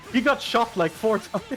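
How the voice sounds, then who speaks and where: Man, fairly high voice, casual esports commentator, joking, faint music behind. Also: a bit bright. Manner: laid-back, joking, swears often. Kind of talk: casual live game commentary.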